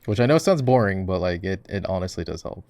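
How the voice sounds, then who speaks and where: A man with a low voice and heavy vocal fry, chatting casually as he plays. He is laid-back and teasing, coaching like an encouraging gamer boyfriend in an ASMR roleplay, with keyboard and mouse clicks behind him. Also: lively intonation, quick pace, a little mellow. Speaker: voice actor playing a gamer boyfriend, coaching a game. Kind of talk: casual ASMR roleplay chat while gaming.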